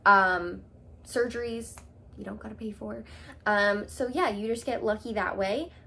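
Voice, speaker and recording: A woman's voice: mid-range voice, measured pace, vocal fry, pushed and bright. A young woman from Canada with a Canadian accent, chatting casually to her camera as a vlogger, with lots of "um"s and "like"s. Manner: chatty, with many "um"s and "like"s.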